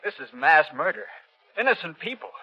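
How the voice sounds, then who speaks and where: A troubled young man pleads nervously and haltingly in a vintage radio play. His voice is fairly high and very animated, on a dull recording. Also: breathy edge, heavily acted. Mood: indignant, desperate. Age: young adult. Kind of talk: 1930s radio acting.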